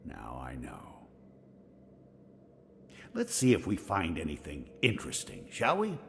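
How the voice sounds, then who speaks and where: A man's voice: deep voice, wildly swooping pitch, unhurried, vocal fry, a little hiss or hum, full character voice. An actor playing a scholarly, curious, dry-witted older man in a video game, musing in a bookish way. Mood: dryly curious. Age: middle-aged. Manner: bookish, musing, exclaiming over finds. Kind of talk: video game dialogue acting.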